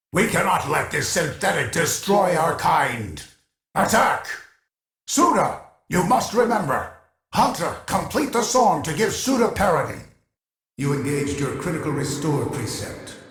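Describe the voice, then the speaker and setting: Pompous echoing AI archivist. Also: male voice, medium pitch. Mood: rallying, urgent, then defensive, dismissive. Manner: pompous, formal, measured, commanding. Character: haughty, obsessive male artificial intelligence archivist hungry for knowledge. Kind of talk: scripted video game character dialogue. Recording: echoing, reverberant processed game voice.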